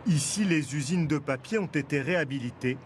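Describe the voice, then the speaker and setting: A man's voice: on the high side, brisk, crowd noise. Male news reporter voice-over.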